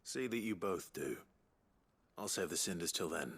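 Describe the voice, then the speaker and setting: An actor playing a calm, kindly warrior hero in a video game, speaking in an earnest, measured way. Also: male voice, low-pitched, slightly rough, exaggerated voice. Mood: warm, assured. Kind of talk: dramatic video game dialogue. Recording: music under the voice.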